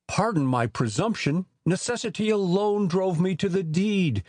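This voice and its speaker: A man's voice: high voice, animated, normal speed, a little mellow, no echo, studio quality. A man playing a desperate husband in a fairy-tale audiobook, speaking in an earnest, formal, theatrical way. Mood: pleading.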